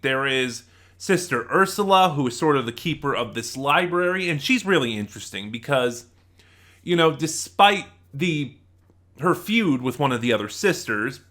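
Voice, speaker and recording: A man's voice: medium pitch, pushed and bright. A man giving a casual spoken review in a conversational, rambling way, often interrupting himself as he goes. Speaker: reviewer, channel host.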